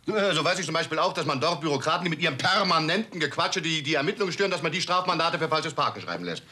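An actor playing a rough-edged police detective who talks bluntly and sardonically, in a crime drama. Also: male voice, on the high side, expressive, racing, bright, forceful tone, exaggerated voice. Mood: sardonic. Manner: gruff, blunt, wisecracking. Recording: music under dialogue.

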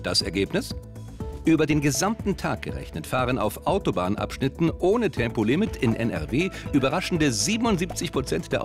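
A man of middle pitch narrates a factual segment in a measured, scripted delivery. His intonation moves expressively, and music plays underneath. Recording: voice-over with music bed.

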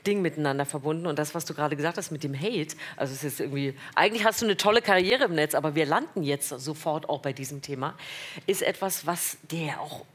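A woman's voice: sits low, fast talker, husky. A woman hosting a talk show interview, asking searching questions with frequent hesitations. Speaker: interview host.

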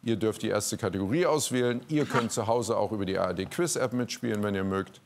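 A man's voice: on the low side, fairly quick, a little hiss or hum. A man hosting a quiz show in a TV studio, presenting live to an audience and guests. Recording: studio with music and audience behind.

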